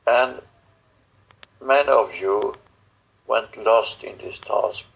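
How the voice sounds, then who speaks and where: Very slow, gravelly man, halting delivery. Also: lowish voice, fairly bright tone, rough recording. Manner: halting, solemn, broken phrases with long pauses. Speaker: channeler speaking as star beings in a guided session. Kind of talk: channeled spiritual message.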